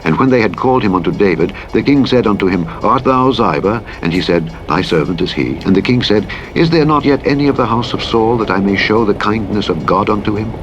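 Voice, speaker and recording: A man with a deep voice narrates scripture in a solemn, formal way. His delivery is measured throughout. Vehicle noise from a driving game runs clearly behind him. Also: lively intonation, dull audio. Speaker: Bible audiobook narrator. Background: truck simulator game driving sounds.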